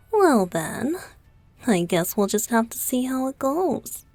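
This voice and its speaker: A woman playing a playful, affectionate young male idol boyfriend in an intimate ASMR roleplay, soft and often close to a whisper. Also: fairly high pitch, big pitch swings, dry recording, faint music behind, heavily acted. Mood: playful, competitive. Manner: teasing, coaxing, giggly, slow with pauses. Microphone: close studio microphone, dry.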